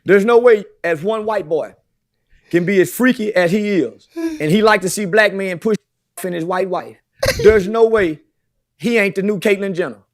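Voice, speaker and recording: A man's voice: sits high, animated, moderate pace. A man talking brashly as a podcast guest, boastful and preachy, swearing constantly.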